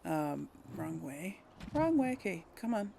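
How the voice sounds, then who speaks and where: A woman's voice: lowish voice, very animated, unhurried, vocal fry, dark tone, a little hiss or hum. A woman giving casual, hesitant let's play commentary, thinking aloud to viewers as she plays. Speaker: host of a gaming let's play.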